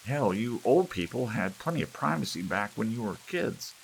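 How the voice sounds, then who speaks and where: A hot-tempered, aggrieved young man, voiced by a male story reader, complains with coarse indignation. His pitch is raised and jumps around, with rain hiss behind. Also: very animated, moderate pace, vocal fry, rough recording. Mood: indignant.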